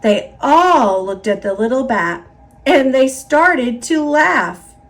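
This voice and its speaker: A woman with an American accent narrating a children's picture book in an expressive, emphatic read-aloud style. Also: high-pitched, slow. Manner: expressive, emphatic, repetitive build-ups. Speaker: storybook narrator.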